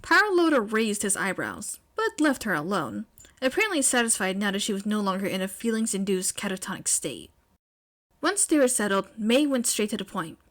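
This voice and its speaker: A woman reading fan fiction aloud as expressive, scripted story narration. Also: mid-range voice, a little fast. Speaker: fan fiction podfic reader.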